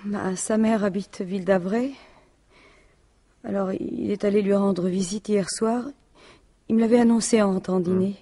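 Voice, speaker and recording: An actress playing a grieving, plain-spoken widow in a French radio detective drama, speaking haltingly. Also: female voice, mid-range voice, steady tone, mellow, lightly acted. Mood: wary, subdued. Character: grieving, indignant widow of a murdered businessman.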